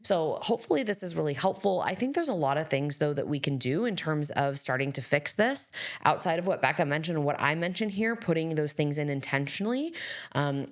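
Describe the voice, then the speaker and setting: A woman co-hosting a health podcast, giving measured advice with casual fillers. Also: sits low. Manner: measured, advisory, with hedging fillers. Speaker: podcast co-host, health practitioner.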